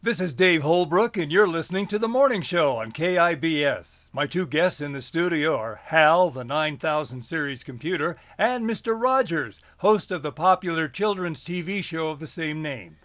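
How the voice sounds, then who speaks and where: A man's voice: fairly high pitch, expressive, moderate pace, hardly any pauses, a bit bright, no echo. A man playing a businesslike morning radio host in a comedy sketch, with polished announcer delivery, trying to keep order.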